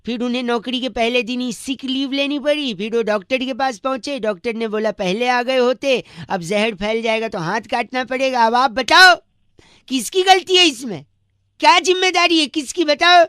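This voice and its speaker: A man's voice: very high voice, moderate pace, pushed and bright, clean tone, no echo, studio quality. A man playing a cheeky, pestering, argumentative prank caller in a comic radio phone call. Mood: mock-indignant.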